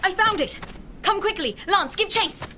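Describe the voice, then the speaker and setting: An actress playing a gracious, animated queen in an audio drama, speaking in formal, consoling phrases. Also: extremely high pitch, moderate pace, slight background noise, exaggerated voice. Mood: excited.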